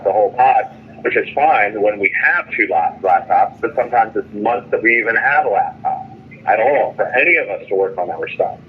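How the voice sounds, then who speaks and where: Male phone caller, lowish voice, frustrated and repetitive, tinny connection with some background noise. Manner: frustrated, complaining. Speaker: jailed caller. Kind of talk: phone call venting about jail and legal case. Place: phone call from jail.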